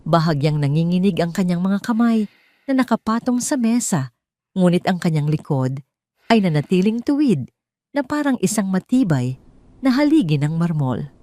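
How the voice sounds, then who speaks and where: A woman's voice: lowish voice, sing-song, moderate pace, dark tone, no room sound. A woman with a Filipino accent reading a scripted dramatic story as its narrator.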